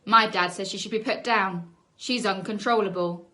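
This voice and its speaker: A woman's voice: medium pitch, moderate pace, sharp tone, natural voice. Casting: female reader as boastful young male bully, sneering, threatening, easily embarrassed, for a children's storybook.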